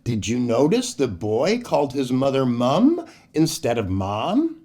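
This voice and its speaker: A man's voice: medium pitch, wildly swooping pitch, unhurried, warm tone. An older American man hosting a children's story show, reading expressively and theatrically as he speaks to young listeners. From United States.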